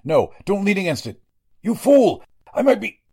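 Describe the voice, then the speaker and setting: A man's voice: sits high, sing-song, vocal fry, darkish tone, slightly rough, full character voice. A man playing a sinister, murderous doctor in a 1940s-style radio drama, speaking in a formal, condescending way. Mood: alarmed. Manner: formal, measured, condescending villain speech. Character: sinister murderous male doctor, coolly menacing narcotics dealer.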